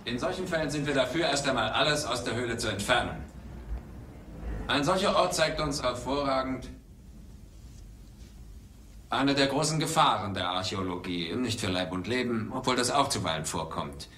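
A driven, wry adventurer professor in a film dub. The voice is bright and forceful, swings widely in pitch, and has a casual, dry delivery over faint noise. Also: male voice, expressive, moderate pace, pauses often. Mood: distracted, lecturing. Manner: hesitant lecturing, casual and dry. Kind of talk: German film dubbing.

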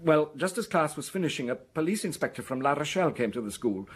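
An anxious, husky village schoolmaster. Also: male voice, on the high side, animated, quick pace. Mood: nervous, defensive. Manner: hesitant, precise, earnest explaining. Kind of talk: British radio drama acting.

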